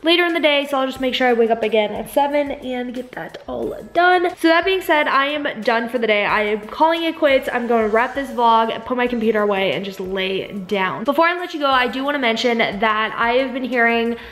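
A young woman in her twenties vlogging casually to her camera at home, a little hoarse from a cold, chatty with lots of "like" and "you guys". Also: a little high, fairly quick, hardly any pauses, fairly bright tone, faint music behind. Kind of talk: casual vlog chat to camera. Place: living room at home, also in a car. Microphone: camera at arm's length.

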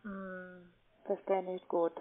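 A woman's voice: on the low side, monotone. Russian-accented woman on a tinny phone line. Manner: halting, non-native German phrasing. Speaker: call-centre broker, phone scammer. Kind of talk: unscripted phone argument. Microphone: phone call.

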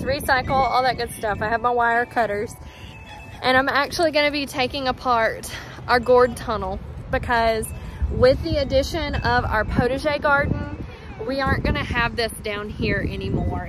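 A woman's voice: on the high side, noisy background. A woman, chatting upbeat to a handheld phone as she works around her farm and barn. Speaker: homestead vlogger. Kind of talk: casual vlog talking to camera. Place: outdoors on a farm and inside a barn. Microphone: handheld phone at arm's length.

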